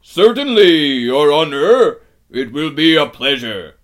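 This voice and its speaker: A man voicing an honest, good-tempered giant in a storybook, speaking loudly in a rustic, deferential way. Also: very animated, slow. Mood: eager, respectful. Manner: booming, rustic, old-fashioned turns of phrase.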